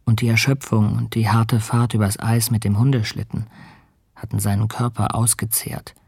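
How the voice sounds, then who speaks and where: A man's voice: lowish voice, level tone, normal speed, darkish tone, heavily acted. A man acting an earnest, compassionate ship captain and polar explorer in a radio play. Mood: reflective narration. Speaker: narrator and character in radio play. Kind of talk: radio drama acting with letter narration. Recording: clear noise, ambience behind.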